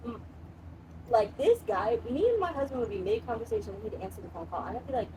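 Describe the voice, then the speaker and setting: Woman host, chatty and reactive, lively pitch, a little distant from the mic. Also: heavy background noise. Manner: lively interjections and quick reactions. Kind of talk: casual chat.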